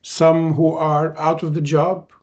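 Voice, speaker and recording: Lebanese-born man hosting, rather high voice. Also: flat delivery, darkish tone. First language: Arabic. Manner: measured, frequent "uh" pauses. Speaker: podcast host. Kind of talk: casual podcast conversation.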